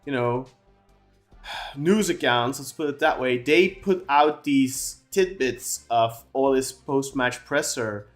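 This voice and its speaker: A man's voice: mid-range voice, slow, soft background music. Rambling man on a football podcast. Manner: talks at length, many restarts and fillers. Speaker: podcast co-host. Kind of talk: casual football podcast chat.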